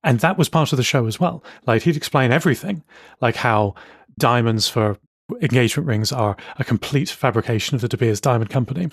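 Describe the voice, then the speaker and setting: A man co-hosting a podcast in casual chat, relaxed, often agreeing and adding quick asides. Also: mid-range voice, husky, no room sound, clean studio sound. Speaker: podcast co-host.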